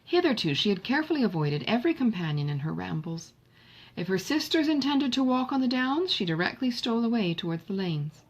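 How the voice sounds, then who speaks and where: A woman reading a classic novel as an audiobook narrator, in a measured, expressive literary manner. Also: on the high side, lo-fi sound.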